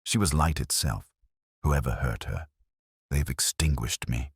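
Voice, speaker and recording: Man playing a deep-voiced melodramatic lovesick playwright. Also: a little slow, breathy edge, dry recording, clean studio sound, exaggerated voice. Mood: anguished, devoted. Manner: poetic, melodramatic. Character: lovesick male playwright, melodramatic and devoted to his muse.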